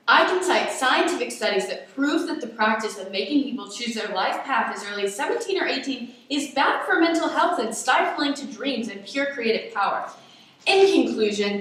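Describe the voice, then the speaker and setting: A woman's voice: fairly high pitch, a bit bright. A young woman acting an earnest, dryly witty student and big sister in an amateur radio play. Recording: very echoey room.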